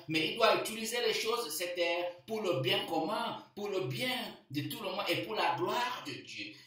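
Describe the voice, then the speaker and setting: Slow-preaching priest in an echoing church. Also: male voice, sits high, sharp tone, distant mic. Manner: slow, repeating key phrases for emphasis. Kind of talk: sermon.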